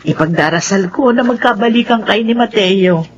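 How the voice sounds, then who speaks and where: A woman's voice: medium pitch, normal speed, natural voice. A woman playing a friendly, hopeful older mother, effusive and pious, in a radio drama. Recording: dull, faintly noisy.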